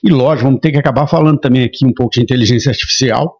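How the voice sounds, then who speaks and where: Gravelly middle-aged podcast host, male. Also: medium pitch, rapid-fire, a little mellow, lo-fi sound. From Minas Gerais, Brazil. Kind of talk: casual interview chat.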